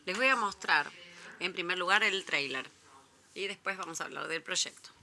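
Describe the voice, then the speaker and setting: Woman presenter, italian first language, talks slow and careful, lowish voice, music going behind. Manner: slow and deliberate. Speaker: conference speaker. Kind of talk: presentation talk with live interpreting of a trailer.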